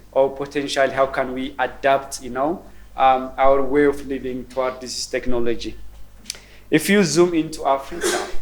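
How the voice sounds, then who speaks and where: Man in his forties, mid-pitched, measured keynote delivery at a university roundtable. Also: a little hiss or hum, rough recording. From Ethiopia. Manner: measured, thoughtful, frequent ums and repeated words. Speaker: keynote speaker. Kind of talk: conference presentation. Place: university roundtable event room.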